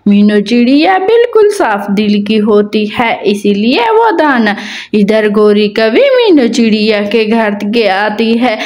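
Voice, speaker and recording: Casting: woman narrator for a kids' story, unhurried pace, voice on the high side. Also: very animated, a little mellow. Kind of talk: scripted children's story narration.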